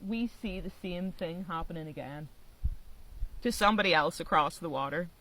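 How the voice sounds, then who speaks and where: An Irish woman from Ireland giving a heartfelt spoken monologue outdoors on a mountainside, earnest and growing quiet and intense. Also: on the low side, normal speed, low-quality recording. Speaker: social media creator. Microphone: phone recording.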